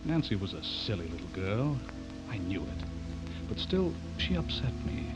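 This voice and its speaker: An actor playing a courteous but uneasy bachelor writer in a radio drama, guarded and brooding. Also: male voice, lowish voice, big pitch swings, normal speed. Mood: brooding, troubled. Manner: polite, guarded, brooding when narrating. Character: courteous, uneasy older bachelor writer suspected of being a vampire. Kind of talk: radio drama acting with first-person narration. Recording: dull lo-fi radio recording, music behind.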